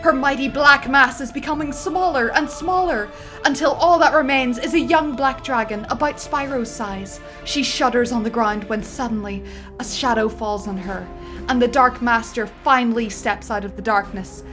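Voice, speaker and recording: A woman reads a script's stage directions aloud, in a slightly raised voice. Her delivery is lively and dramatic, and she sounds like she is enjoying herself. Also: a little high, normal speed, over music. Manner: lively, dramatic narration with laughter. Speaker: narrator.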